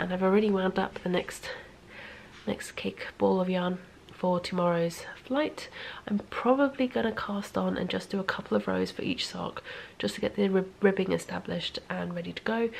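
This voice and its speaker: A stuffy-nosed woman rambles through a relaxed vlog update at home in the evening. Her voice has a gravelly, fry-tinged edge, her pitch sits mid-range, and she often slips into near-whispers. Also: normal speed, slight background noise, low-quality recording. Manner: rambling, chatty, sometimes drops to a near whisper. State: congested, sniffly. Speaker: knitting vlogger. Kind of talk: casual vlog chat.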